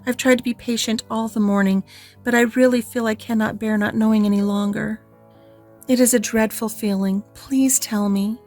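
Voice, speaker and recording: Woman voicing a high, gushing orphan girl. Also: fairly even intonation, normal speed, darkish tone, faint music behind, lightly acted. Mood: pleading. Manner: breathless, pleading and effusive. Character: eager, imaginative, talkative orphan girl. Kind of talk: audiobook character reading.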